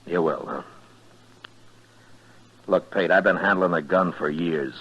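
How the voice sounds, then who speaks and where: A dull vintage radio recording carries a stern, weary American frontier marshal. His voice is very low and husky. He speaks bluntly and deliberately, with a hard edge, in old radio western style. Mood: exasperated. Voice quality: husky, deep. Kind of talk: 1950s radio western acting.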